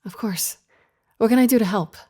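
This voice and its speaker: A woman's voice: on the high side, normal speed, dark tone, close to an everyday voice. A woman playing an earnest, strong-willed therapist in an audio drama, speaking articulately and expressively. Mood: eager.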